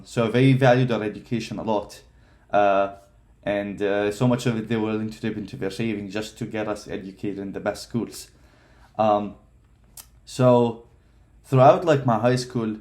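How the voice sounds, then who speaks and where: A man's voice: fairly low pitch, darkish tone. A man in his twenties from Palestine, telling his life story casually with many fillers and restarts. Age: 20s. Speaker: vlogger.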